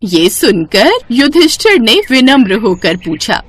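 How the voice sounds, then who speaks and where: A woman's voice: a little high, big pitch swings, a little slow, slight background noise. A woman narrator reading a scripted devotional story. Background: music.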